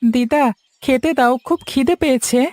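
A woman's voice: sits high, moderate pace, a little mellow, natural voice. A woman voicing a poor young village grandson in a cartoon story, plaintive, questioning and grumbling. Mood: hungry, eager. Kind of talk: Bengali cartoon story voice acting.

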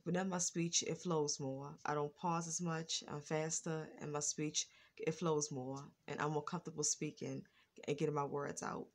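Low-voiced woman who stutters. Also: flat delivery, normal speed. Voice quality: stutters, repeats words and restarts. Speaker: vlogger. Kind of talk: casual reaction vlog. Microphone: phone front camera.

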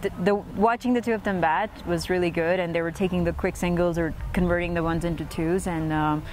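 A woman's voice: on the low side, fairly even intonation, a little fast, darkish tone, low-quality recording. A woman in her thirties, a player giving post-match interview answers outdoors into a lapel mic. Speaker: cricket player. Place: outdoor cricket field. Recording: faint outdoor ground noise.

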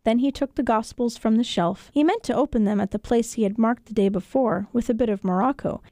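High-voiced woman narrating a story. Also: brisk, mellow, dry recording, studio quality. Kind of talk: scripted children's story narration. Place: radio studio.

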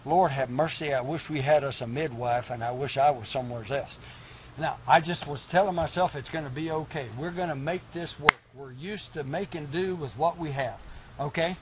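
A man's voice: a little high, moderate pace, a bit bright, a little hiss or hum, lightly acted, low-quality recording. A man playing a flustered frontier cowboy who has just become a father. He speaks with a homespun, folksy drawl. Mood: coaxing. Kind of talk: one-man stage monologue.

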